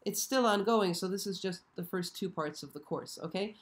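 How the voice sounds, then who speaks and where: A hoarse woman hosting a casual live stream, chatty and often checking in with her viewers. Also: mid-range voice, moderate pace. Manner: chatty, frequently checks in with "okay?". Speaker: show host. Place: show set.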